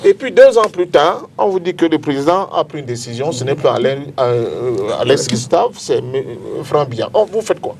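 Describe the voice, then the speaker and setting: A man's voice: on the high side, big pitch swings, normal speed, hardly any pauses, a little mellow, a little hiss or hum. A Cameroonian-accented man debating politics as a panel guest, speaking emphatically and repeating phrases for effect.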